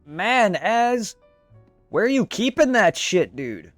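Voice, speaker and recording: A man's voice: high voice, big pitch swings, slow, dry recording, faint music behind. A man streaming live, chatting casually with viewers, thanking them and swearing freely.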